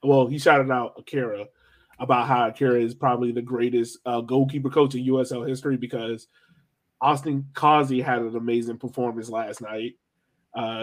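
A man's voice: medium pitch, steady tone, normal speed. An American man co-hosting a sports podcast, talking casually through analysis of a soccer match.

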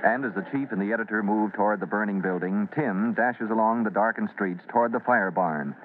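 A man's voice: on the low side, brisk. A man narrating a radio drama as its announcer, in an emphatic, declamatory old-time broadcast style. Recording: tinny, faintly noisy vintage radio recording.

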